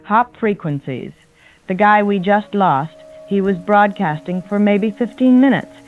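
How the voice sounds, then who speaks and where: Through a narrow, phone-like radio band comes an actress as a resolute woman survivor. She sounds earnest and compassionate, at a middling pitch, in a post-apocalyptic drama with soft music behind. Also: measured pace. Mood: troubled, concerned. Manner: earnest, firm. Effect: radio filter.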